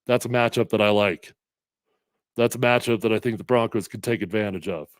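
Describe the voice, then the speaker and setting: A middle-aged man hosting casual sports talk, a little congested, energetic and emphatic as he reads comments and makes predictions. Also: lowish voice, fairly quick, no echo. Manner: energetic, conversational, emphatic with fillers. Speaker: sports radio host previewing a game.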